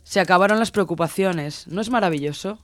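A woman's voice: on the low side, fairly quick, close to an everyday voice. A woman playing a possessive, abusive lover in an audio drama, pressing her partner with insistent, pleading questions. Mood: eager, insistent.

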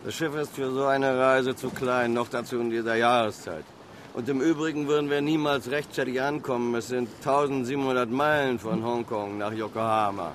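An actor playing a practical, wary harbour pilot and boat owner in a radio play, speaking in a blunt, down-to-earth way. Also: male voice, mid-range voice, fairly even intonation, moderate pace, slight background noise, lightly acted.

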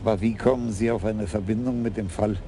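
An actor as a shrewd, calm police chief. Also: male voice, lowish voice, fairly quick, background noise, lightly acted. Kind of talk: radio play acting.

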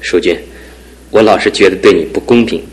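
Actor as a brooding young groom, radio drama, lowish voice, touchy and self-pitying. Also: male voice, brisk, lightly acted, rough recording. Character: young groom, bitter about his lame leg. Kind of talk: 1970s radio drama acting.

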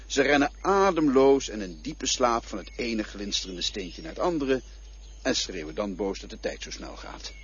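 An actor playing an enigmatic male guide to death in a radio play, philosophical and quietly insistent. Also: medium pitch, very animated, moderate pace, lo-fi sound, slight background noise, close to an everyday voice. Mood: musing. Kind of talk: radio drama acting.

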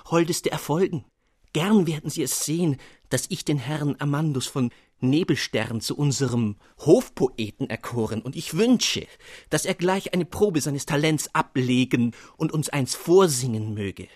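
A man's voice: fairly high pitch, dry recording, clean studio sound. A man voicing a small, amorous gnome king who speaks in a sweet, coaxing tone. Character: tender little gnome king, amorous and self-pleased.

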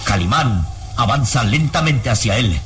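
A man narrating a vintage radio drama from a script with dramatic, suspenseful and deliberate phrasing. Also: mid-range voice, lively intonation, fairly bright tone. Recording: radio serial with music behind.

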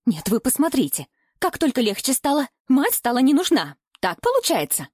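A woman's voice: medium pitch, lively intonation, brisk, a little mellow, no room sound, studio quality, lightly acted. Woman playing an embittered, hysterical middle-aged mother. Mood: wounded fury. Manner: indignant, accusing, exclamatory outbursts.

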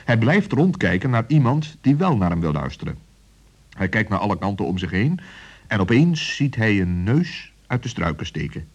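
A man's voice: on the low side, very animated, moderate pace, breathy edge, highs cut off. A man narrating a children's story expressively, on an old recording.

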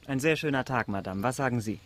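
An actor playing a courteous, guarded former soldier in a priest's role, speaking formally and with measured politeness in a German radio drama. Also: male voice, mid-range voice, a bit bright, lightly acted. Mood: polite, cautious. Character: courteous man posing as travelling priest, ex-cavalryman, guarded.

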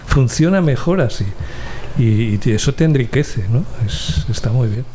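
Dark-toned man, faint noise behind. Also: medium pitch, animated, unhurried. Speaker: course student. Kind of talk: casual chat. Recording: slightly noisy room recording.

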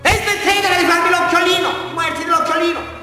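A man's voice: extremely high pitch, fairly even intonation, fast, pushed and bright, exaggerated voice. A man acting an unhinged small-town murderer with a southern Italian accent, confessing to a police brigadier in a rambling, insistent, taunting way in a theatrical radio drama. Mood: agitated. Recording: echoey hall from far off, faint music.

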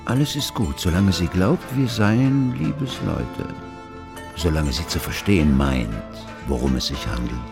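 An actor playing a proud, deliberate and evasive philosopher in a radio play. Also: male voice, low voice, creaky, over music. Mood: secretive. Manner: deliberate, pondering.

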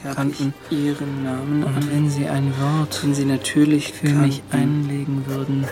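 A man's voice: medium pitch, monotone, normal speed, close to an everyday voice. An actor playing an earnest, humble young seaman in a radio drama, speaking politely and with self-deprecation. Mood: humble, hopeful. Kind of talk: radio drama, letter read in character. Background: music.

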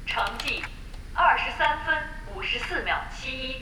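A woman's voice: high-pitched, lively intonation, normal speed, background noise. A woman voicing a formal online game system announcer in an audio drama. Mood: formal announcing. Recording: echoey, distant, music over it. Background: loud music.

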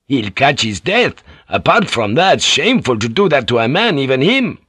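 A man reading an audiobook, voicing a crude, lewd middle-aged ship's boatswain with a loud, blustering manner. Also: medium pitch, sing-song, moderate pace, slightly rough, no echo, studio quality, voice pushed up. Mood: gruff, blustering. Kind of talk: audiobook character voice.

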